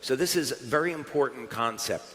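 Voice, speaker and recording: A man's voice: mid-range voice, animated, a little fast, a bit bright. An American man giving a live stage presentation at a company event, chatty and upbeat with fillers and restarts. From United States. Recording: reverberant hall sound.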